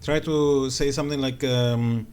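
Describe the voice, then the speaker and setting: A man's voice: medium pitch, fairly even intonation, unhurried, a little mellow. A man streaming live, joking with chat and talking through his moves as he plays. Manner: joking, thinking aloud while playing. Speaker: game streamer. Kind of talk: live gameplay commentary.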